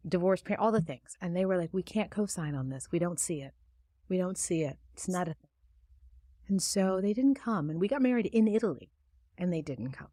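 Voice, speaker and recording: A woman guest in a conversational interview, talking about her book in a reflective, soft-spoken storytelling manner. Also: on the low side, lively intonation, a little fast, no room sound. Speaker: author and guest. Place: studio.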